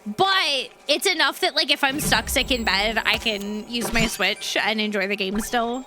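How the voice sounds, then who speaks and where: A woman streamer chatting casually with her audience while gaming, bubbly and sweet, her voice going hoarse. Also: a little high, unhurried, music in the background. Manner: bubbly and sweet, thanking chat.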